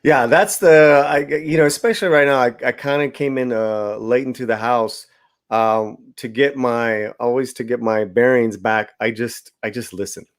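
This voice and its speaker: A man hosting a casual livestream chat over a video call, relaxed and chatty, with loose fillers. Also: medium pitch, moderate pace, no echo, studio quality. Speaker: host.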